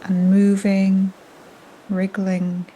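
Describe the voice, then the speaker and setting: A woman guiding a yoga nidra relaxation in a soft, breathy, half-whispered, soothing manner. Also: mid-range voice, drawn-out words, rough recording. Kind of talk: guided relaxation meditation. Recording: steady soft noise like ocean waves. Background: gentle ocean waves.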